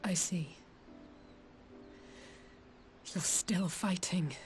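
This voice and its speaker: A woman's voice: fairly low pitch, unhurried, soft background music, lightly acted. A young woman playing an earnest, heartfelt young heroine who grieves for her fallen friends in a video game cutscene. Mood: tender, subdued.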